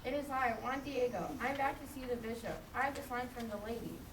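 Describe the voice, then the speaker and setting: A woman's voice: on the high side, fairly quick, natural voice. A woman playing a humble, earnest peasant man in a puppet show, with simple delivery. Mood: humble, grateful. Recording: echoey, noisy, distant live recording.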